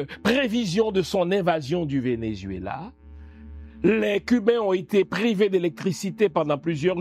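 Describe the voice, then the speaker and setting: Animated high-pitched man giving political commentary. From Haiti. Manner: didactic, emphatic, rhetorical. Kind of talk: geopolitical commentary monologue.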